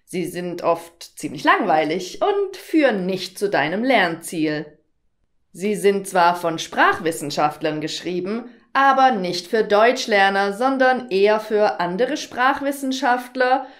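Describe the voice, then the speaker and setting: A woman in her thirties from southern Germany, a German teacher presenting a lesson to learners in a clear, didactic way with careful enunciation. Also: medium pitch. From southern Germany, near Stuttgart. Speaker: German teacher, workshop host. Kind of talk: instructional presentation to camera, addressing learners directly. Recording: roomy, echoing room sound.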